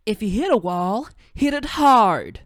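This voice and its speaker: A man's voice: high voice, very animated, a little slow, fairly bright tone, dry recording, studio quality, pitched above the performer's own voice, full character voice. A man voicing a brash, cheeky, confident female brawler from a video game. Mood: cocky. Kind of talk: video game character impressions.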